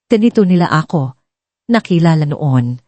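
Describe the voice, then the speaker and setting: A woman voicing a humble, quietly resolute self-made millionaire in a dramatic story, earnest and deliberate. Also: deep voice, expressive, no room sound. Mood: reflective, determined.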